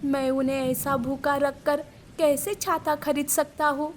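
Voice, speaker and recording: Woman voicing an earnest little schoolboy, high and slow. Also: flat delivery, slight background noise. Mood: troubled. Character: poor, dutiful, anxious young schoolboy. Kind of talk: Hindi moral-story cartoon dubbing.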